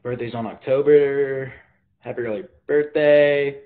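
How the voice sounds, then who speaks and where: A man's voice: mid-range voice, fairly even intonation, unhurried. A teenage boy hosting a casual livestream from his bedroom, talking in a slangy, laid-back way and reading viewer comments aloud, filmed on a phone at arm's length. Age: teens. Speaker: livestream host. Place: bedroom, indoors. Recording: tinny phone-like audio.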